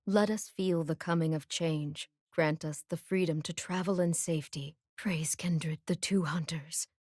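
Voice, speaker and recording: A woman voicing a calm, solemn warrior chieftain in a video game, grave and measured. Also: low voice, dry recording, studio quality. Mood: reverent. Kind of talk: video game character voice lines.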